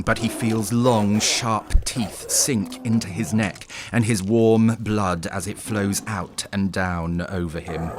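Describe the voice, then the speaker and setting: A man narrating a scripted horror story in a dramatic, deliberate storytelling manner. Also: on the low side. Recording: clearly noisy background ambience.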